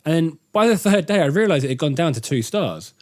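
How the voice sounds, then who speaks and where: A man's voice: fairly high pitch, big pitch swings, brisk, a little mellow. A man chatting casually as a podcast co-host. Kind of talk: casual chat.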